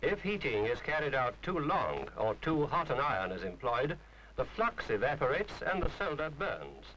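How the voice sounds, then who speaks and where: A man's voice: mid-range voice, sing-song, moderate pace, a bit bright, slight background noise, low-quality recording. A man reading a scripted instructional film narration in a formal, precise, didactic manner.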